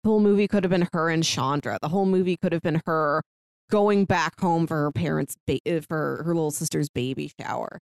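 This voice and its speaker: A woman's voice: deep voice, a little fast, gravelly, clean tone, no echo, clean studio sound. A woman co-hosting a podcast, casually discussing films and acting out lines as she retells. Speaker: podcast co-host.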